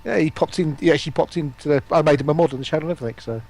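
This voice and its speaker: Male stream guest, slightly high-set voice, informal gamer banter. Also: rapid-fire, vocal fry, darkish tone. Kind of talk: casual chat among gamers.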